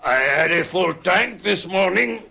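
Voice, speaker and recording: A sly taxi driver with a gravelly voice that sits a little high. The old radio recording hisses noticeably behind him. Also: male voice, lively intonation, a little slow, bright, forceful tone, heavily acted. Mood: evasive. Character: evasive small-town taxi driver, man.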